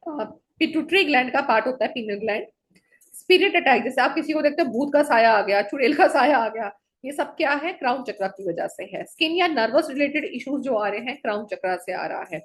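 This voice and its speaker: A woman's voice: sits high, expressive, moderate pace. A woman teaching an online color therapy class, listing one item after another and checking in with her students.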